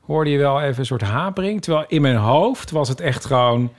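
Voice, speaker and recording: A man's voice: medium pitch, lively intonation, a little fast. Informal man in his fifties, podcast chat. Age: 50s.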